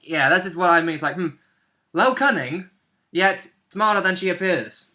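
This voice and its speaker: A man's voice: high voice, normal speed, bright, forceful tone. A young man talking casually as a player in a tabletop role-playing game. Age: young adult. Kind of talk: casual chat. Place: small room at home, indoors.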